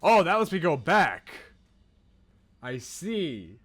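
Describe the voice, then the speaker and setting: A man's voice: fairly high pitch, sing-song, slow, a bit bright, faint music behind. A man live-streaming a visual novel, reading aloud and chatting.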